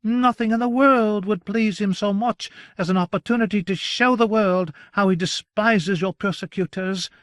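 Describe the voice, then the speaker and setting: A man voicing a fawning, effusive male gentleman who flatters and exclaims. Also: sits high, normal speed, few pauses, dry recording, clean studio sound, voice pushed up. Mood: flattering.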